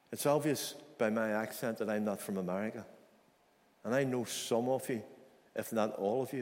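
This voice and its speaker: A man's voice: fairly low pitch, a little mellow. A man from Ireland, preaching a sermon in an earnest, storytelling way and repeating key phrases for emphasis. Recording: long hall reverb.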